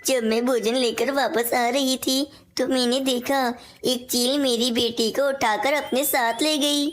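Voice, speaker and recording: A woman's voice: high voice, fairly even intonation, a little slow, slight background noise. A woman voicing a small, obliging female bird in a cartoon, with a polite, deferential manner. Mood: pleading. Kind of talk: Hindi cartoon voice acting.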